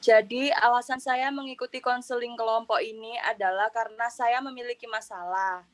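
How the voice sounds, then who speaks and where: A woman's voice: a little high, steady tone, normal speed, close to an everyday voice. A woman playing a 28-year-old model who tells a counseling group about her love troubles in a chatty, earnest way. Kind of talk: student counseling roleplay.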